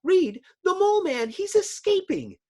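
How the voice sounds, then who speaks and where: A man's voice: very high-pitched, very animated, measured pace, a little mellow, pitched above the performer's own voice, exaggerated voice. A man voicing an anxious young woman heroine from a comic book, with urgent, pleading delivery. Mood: alarmed.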